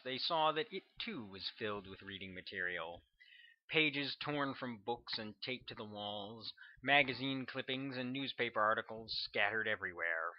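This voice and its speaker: A man reading his own novel aloud as scripted audiobook narration, at a middling pitch. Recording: dull, lo-fi sound.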